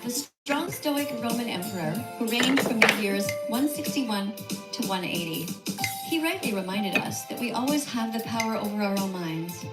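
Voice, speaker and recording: A woman's voice: fairly high pitch, expressive, moderate pace. A woman narrating scripted advice in a firm, preachy, lecturing tone. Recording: roomy recording over loud music.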